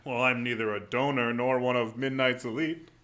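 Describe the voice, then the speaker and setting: An actor playing a modest ace newspaper reporter in an old-time radio drama, speaking with polite, dry understatement. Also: male voice, mid-range voice, fairly even intonation, normal speed, a bit bright, close to an everyday voice. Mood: polite, reserved. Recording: echoey live studio, faint noise.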